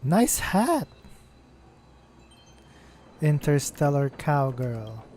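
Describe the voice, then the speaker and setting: A man's voice: a little high, big pitch swings, extremely slow, a little mellow, slight background noise. A man casually commenting while playing a game, reading item names aloud as he goes. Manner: reads game text aloud, musing to himself. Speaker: streamer. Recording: game music under the voice.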